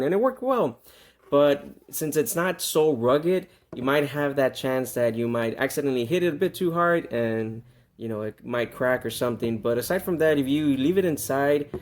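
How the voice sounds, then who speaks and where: A man talking casually through an unscripted product demonstration, conversational, repeating himself and stressing key words. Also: medium pitch. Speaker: product reviewer.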